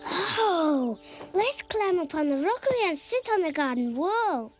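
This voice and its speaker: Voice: very high voice, big pitch swings, a little slow, exaggerated voice. A performer voicing a young kitten in a children's cartoon, childlike, earnest and adventurous. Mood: eager, bossy. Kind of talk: animated children's storybook acting. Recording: loud music behind the voice.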